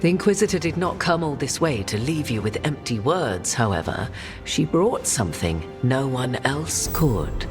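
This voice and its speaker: Haughty knowing fantasy game witch, arch formal talk, very lively pitch, loud music under it. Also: moderate pace. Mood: solemn. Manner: formal, arch, theatrical diction. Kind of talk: video game cutscene acting.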